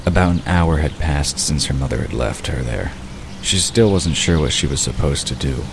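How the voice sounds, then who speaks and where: A man narrating an audio drama in a calm, measured way. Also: rumbling low, noisy background.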